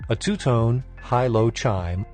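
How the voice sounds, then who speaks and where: A man reading a scripted technical training lesson in a formal, measured, instructional manner. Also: medium pitch, over loud music. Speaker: instructor narrator.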